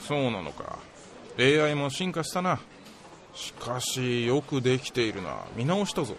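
Gruff, bemused male lab boss, played by an actor, mid-pitched and animated, comedic radio drama. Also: moderate pace, slight background noise. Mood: impressed, curious. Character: gruff, skeptical male lab chief, easily impressed.

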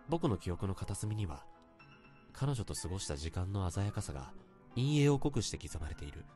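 A man's voice: low-pitched, a little mellow, full character voice. An actor playing an earnest salaryman in his thirties, telling his story politely and reflectively in a Japanese radio drama, in a literary style. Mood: wistful, earnest. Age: 30s. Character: earnest 32-year-old salaryman confessing a bittersweet youthful love.

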